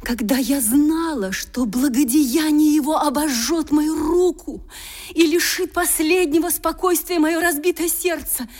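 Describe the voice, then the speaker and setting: An actress playing a proud, long-suffering young gentlewoman in a radio drama, solemn and impassioned, with breathy delivery and dramatic pauses. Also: sits high, soft background music. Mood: anguished reproach. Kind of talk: Russian radio drama acting, theatrical.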